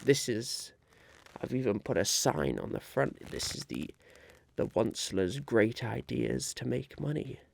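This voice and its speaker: A man playing a polite, harried would-be businessman chairing a meeting in a soft-spoken, halting ASMR roleplay. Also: medium pitch, expressive, vocal fry, slight background noise. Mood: flustered, polite. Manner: hesitant, halting, politely patient.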